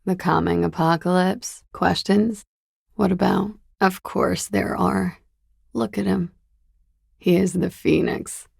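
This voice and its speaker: A young expatriate game character, cool and weary of the world. Her voice sits fairly low and is husky with a lot of gravel. She teases with dry irony, taking her time over each line. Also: fairly even intonation, slow, a little mellow, no echo, exaggerated voice. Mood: wry, teasing. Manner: dry, languid, ironic. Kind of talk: video game character dialogue.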